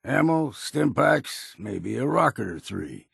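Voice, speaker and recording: A gruff old hunter from a fishing harbor in a video game. His voice is deeply gravelly and husky, with lively swings in pitch. He talks in a folksy, blunt, stubborn way, recorded dry and close. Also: slow, dry recording, heavily acted. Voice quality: gravelly, rasping. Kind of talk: video game character acting.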